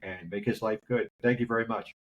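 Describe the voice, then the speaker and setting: A man's voice: fairly low pitch, steady tone, a little fast, muffled recording. A man hosting a casual interview, chatty and chuckling often. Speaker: podcast host.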